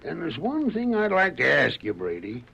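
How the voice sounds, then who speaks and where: An actor playing a crooked small-town sheriff in a Western radio drama, with a gravelly, husky voice and folksy frontier dialect. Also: male voice, on the high side, wildly swooping pitch, normal speed, pushed and bright, full character voice. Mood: deferential, curious. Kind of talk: 1930s-40s Western radio acting. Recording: muffled old radio recording.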